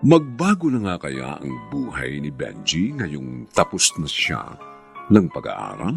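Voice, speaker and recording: A man's voice: extremely low pitch, huge pitch swings, measured pace, creaky, faint music behind. A man announcing a radio drama, reading scripted narration and credits in a theatrical, solemn manner.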